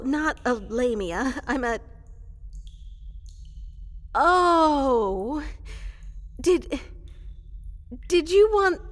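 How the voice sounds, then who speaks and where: A woman's voice: high-pitched, fairly bright tone, full character voice. A woman voicing a small, fluffy, eager monster girl in a fantasy comedy roleplay, stammering, apologetic and overly formal. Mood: flustered, apologetic. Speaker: applicant for evil advisor post. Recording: reverberant large hall.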